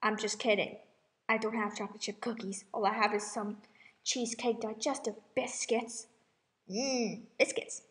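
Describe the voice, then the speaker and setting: A woman's voice: fairly high pitch, fairly even intonation, moderate pace, a bit bright. A young woman chatting casually in a rambling, self-deprecating way, as if to viewers of her own channel. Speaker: amateur cover singer and channel host.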